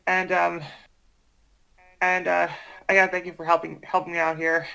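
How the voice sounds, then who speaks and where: A man chatting casually as a guest, hesitant and halting, often repeating his words. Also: high-pitched, monotone, creaky, bright, forceful tone, smooth, poor audio. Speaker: guest, amateur voice actor. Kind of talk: casual chat.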